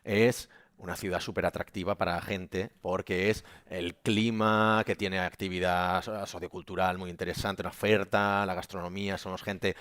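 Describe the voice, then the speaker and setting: A man's voice: low voice, level tone, normal speed, fairly bright tone, clear, resonant tone, no room sound, clean studio sound. A man from Catalonia moderating a panel discussion, often repeating words as he searches for them.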